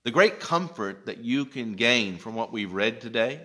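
A man in his thirties, a pastor giving a gentle children's Bible lesson in a church, speaking slowly and checking in with questions, recorded on a close-held phone. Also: medium pitch, expressive. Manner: slow, gentle, explaining step by step with checking questions. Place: church sanctuary, indoors. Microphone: phone camera held close.

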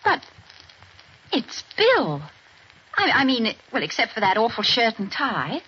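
An actress playing a spirited young British wife in a 1940s radio drama, with an expressive, crisp delivery. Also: female voice, on the high side, unhurried, exaggerated voice. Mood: earnest. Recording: dull old radio broadcast with faint hiss.

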